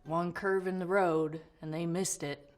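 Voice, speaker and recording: A woman's voice: fairly low pitch, steady tone, measured pace. Matter-of-fact woman, faint music. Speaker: interviewee. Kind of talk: casual talk to camera.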